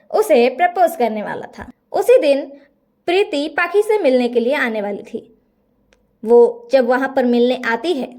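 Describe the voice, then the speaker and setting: A woman's voice: high-pitched, a little mellow. A woman narrating a scripted bedtime story as a storyteller.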